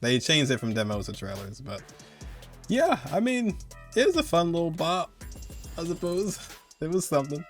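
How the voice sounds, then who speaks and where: A man's voice: fairly high pitch, big pitch swings, normal speed, long pauses, soft background music. A man giving casual, slangy, conversational commentary as host of a video game countdown. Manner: slangy, conversational, chuckling asides.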